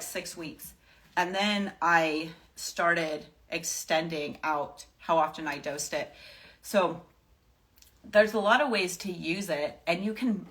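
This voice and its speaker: A woman's voice: fairly low pitch, fairly bright tone. A woman giving casual, off-the-cuff wellness advice to her followers as a host, emphatic and reassuring, repeating phrases to drive points home.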